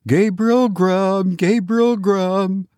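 A man voicing a wild chorus of invisible goblins, crying out in a drawn-out chant. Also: high voice, fairly even intonation, darkish tone, clear, resonant tone, no echo, clean studio sound, pitched above the performer's own voice. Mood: accusing.

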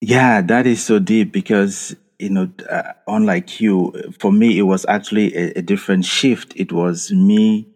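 A man's voice: on the low side, steady tone, measured pace, hardly any pauses, a little mellow. A man interviewing conversationally, feeling out his questions in thoughtful, hesitant phrasing. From Africa. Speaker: interviewer, host. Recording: long reverberant echo.

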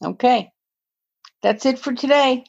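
A woman's voice: high-pitched, measured pace, gravelly, darkish tone. A woman co-hosting a podcast, chatting casually, rambling and correcting herself as she goes. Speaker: podcast co-host. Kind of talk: casual chat. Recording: dull, lo-fi audio.

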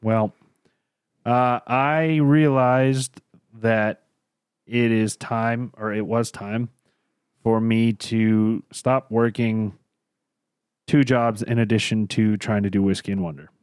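A man's voice: fairly low pitch, fairly even intonation, takes it slowly, long pauses, a little mellow, clean tone, no echo, studio quality. A man co-hosting a casual podcast, rambling and losing his train of thought, with a lingering cough and tickly throat. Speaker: podcast co-host. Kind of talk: casual chat.

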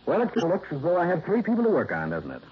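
An actor playing a hard-boiled insurance investigator, narrating dryly and wryly in a 1950s radio drama. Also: male voice, fairly high pitch, huge pitch swings, fast talker, breathy edge, heavily acted. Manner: dry, clipped, wry. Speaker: narrator and lead. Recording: muffled old radio broadcast with music.

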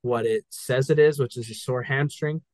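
A man's voice: mid-range voice, normal speed, no echo. A man co-hosting a casual sports podcast, eagerly walking through a game's final play. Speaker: guest co-host.

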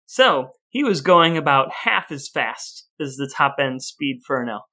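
A man's voice: medium pitch, expressive, a little slow, sharp tone. A man hosting a podcast with casual banter and a playful, mock-serious way of talking. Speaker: podcast host.

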